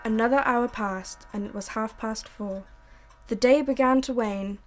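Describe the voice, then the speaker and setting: A young woman narrating a radio drama in a measured, brooding way. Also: on the high side, music in the background.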